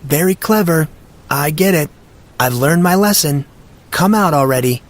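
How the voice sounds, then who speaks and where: A man's voice: a little high, animated, normal speed, pitched above the performer's own voice, lightly acted. A man voicing a bewildered, irritated fourteen-year-old boy in an audio drama. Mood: annoyed. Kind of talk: audiobook character acting.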